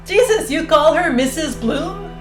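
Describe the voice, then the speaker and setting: A woman's voice: high-pitched, animated, a little slow. A woman playing a cynical, defiant astronaut in an audio drama, blunt, sardonic and persuasive, plotting an escape. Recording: roomy sound with music underneath.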